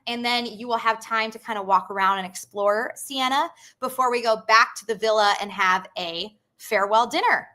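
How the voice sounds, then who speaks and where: A young woman hosts a casual live stream about a group tour, speaking in a chatty, upbeat way and filling pauses with um. Her voice is bright and crisp and sits a little high. She reads out viewer comments and answers them warmly. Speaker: travel company host.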